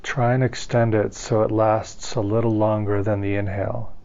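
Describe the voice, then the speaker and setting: A man with a low, very mellow voice leads a guided meditation. His delivery is calm and gentle, staying very even in tone from phrase to phrase. The recording is slightly dull and muffled. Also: vocal fry. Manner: calm, gentle, unhurried guiding. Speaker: meditation teacher.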